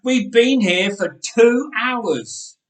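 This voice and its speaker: A man's voice: very high voice, drawn-out words, voice pushed up, exaggerated voice. Man doing a whiny cheeky young boy. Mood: grumbling. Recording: roomy church echo.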